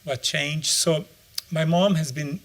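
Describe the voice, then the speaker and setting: A man's voice: fairly high pitch, fairly even intonation, slow pace, a bit bright. Reflective middle-aged man. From Brazil. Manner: reflective, searching for words. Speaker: author and anthropologist. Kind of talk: interview answer.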